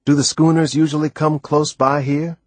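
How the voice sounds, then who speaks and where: A man's voice: on the high side, little pitch movement, normal speed, no room sound, voice pushed up, natural voice. A man playing an anxious young American boy who speaks in plain questions in an audiobook. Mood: anxious questioning. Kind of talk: audiobook character voice.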